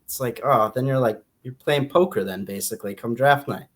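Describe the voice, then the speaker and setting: A male podcast co-host with an American accent speaks in a relaxed chat format. His pitch is average for a man, and his delivery is that of informal back-and-forth between friends. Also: a little fast. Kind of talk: casual banter between friends.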